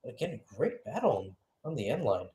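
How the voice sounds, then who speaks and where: A man's voice: mid-range voice, big pitch swings, normal speed, warm tone. A man giving live radio-style football play-by-play, mixing calls of the action with conversational, musing analysis. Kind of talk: live radio sports commentary.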